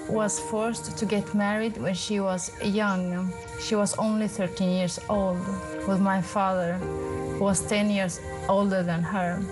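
Woman from Iraqi Kurdistan, slow, tender testimony over music. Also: medium pitch, poor audio. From Kurdistan, Iraq. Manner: slow, tender, non-native phrasing. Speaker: testimony speaker. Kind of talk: personal testimony. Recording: music bed behind voice.

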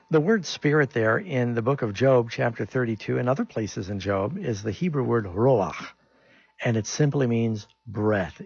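A man's voice: medium pitch, vocal fry, a little mellow, husky, no echo, highs cut off. A man who hosts a radio call-in show as a pastor, answering Bible questions in a conversational, explanatory way.

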